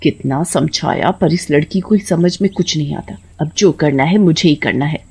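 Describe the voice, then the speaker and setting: A woman's voice: low-pitched, moderate pace, vocal fry, warm tone, slightly rough, exaggerated voice. A woman voicing a scheming, spiteful grandmother in a cartoon story, with a dramatic, scolding manner. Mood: disapproving, calculating. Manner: dramatic, scolding, wailing when feigning hurt.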